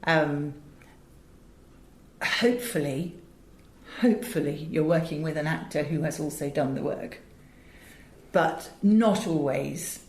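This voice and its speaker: A woman's voice: low voice, takes it slowly, long pauses, darkish tone. A middle-aged woman with a British English accent answering interview questions conversationally, with emphatic storytelling and frequent asides. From England. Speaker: interview guest, audiobook director and producer. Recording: roomy echo, faint background noise.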